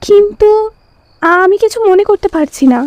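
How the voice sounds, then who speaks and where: A woman's voice: extremely high pitch, normal speed, darkish tone, dry recording. An actress playing an anxious, confused young woman in an audio drama, uneasy and questioning. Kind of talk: audio story drama acting.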